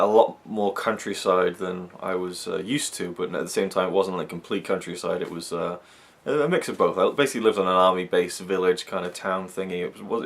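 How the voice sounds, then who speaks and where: Brief: young man from Wales, deep voice, rambling chatty vlogger. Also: creaky, a bit bright. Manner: rambling, chatty, many fillers. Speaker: YouTube reviewer. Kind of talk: casual chat to camera.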